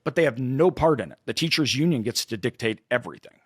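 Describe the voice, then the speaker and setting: A man's voice: medium pitch, animated, fast, husky, dry recording. Emphatic man, dry close sound. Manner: emphatic, critical, rhetorical questions. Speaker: podcast co-host. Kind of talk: opinionated casual discussion.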